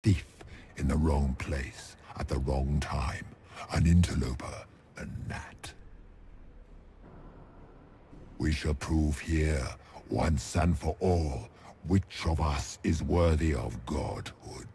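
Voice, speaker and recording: A man's voice: extremely low pitch, slow, dark tone, a little hiss or hum, heavily acted. A man playing an ancient, arrogant monster who would be a god, declaiming theatrically in a fantasy game. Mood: contemptuous, menacing. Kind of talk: dramatic fantasy game acting.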